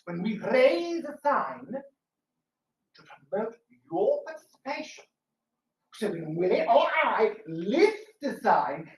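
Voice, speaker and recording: Woman acting a genteel Victorian presenter, animated intonation, declamatory, playful, live stage show, distant mic. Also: fairly high pitch, echoey room. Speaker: stage host of a live radio-theatre show. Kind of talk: theatrical scripted presentation to a live audience.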